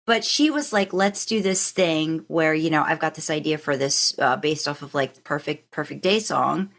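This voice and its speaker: A woman's voice: deep voice, moderate pace, fairly bright tone. A woman hosting a podcast in casual chat, rambling a little as she thinks aloud. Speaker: podcast host.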